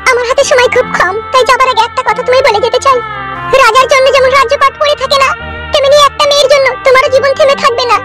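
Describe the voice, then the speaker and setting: A woman's voice: extremely high pitch, fairly even intonation, quick pace, music in the background. A woman voicing a tender but firm young married woman in a melodramatic cartoon, pleading earnestly. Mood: firm, sad. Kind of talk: melodramatic comedy cartoon dubbing.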